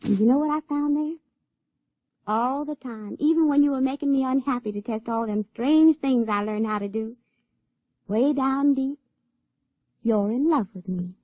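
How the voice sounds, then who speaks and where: A woman's voice: high-pitched, normal speed, pauses often, no echo, close to an everyday voice. A woman acting in a radio drama as a naive, spirited young country woman, with folksy rural speech. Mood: coy, knowing. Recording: dry, dull radio-drama recording.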